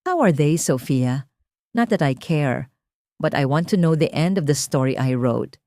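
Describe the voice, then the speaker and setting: A woman narrator voicing a proud, composed female boss with cool, measured delivery in an audio story. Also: sits low, animated, warm tone, no echo, studio quality. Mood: cool, bitter. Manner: cool, measured, mixing Tagalog and English. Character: Shen Ning, proud composed wronged wife turned company boss.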